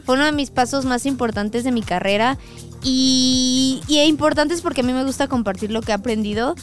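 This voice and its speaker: A woman, a tattoo artist guest in a radio studio, talking in a relaxed, chatty way and joking around. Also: high voice, moderate pace, soft background music. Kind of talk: casual radio interview.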